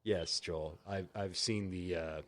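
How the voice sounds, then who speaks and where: A man's voice: sits low, fairly even intonation, slow. A man co-hosting a casual podcast, teasing and sarcastic in his banter. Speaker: podcast co-host. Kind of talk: casual chat.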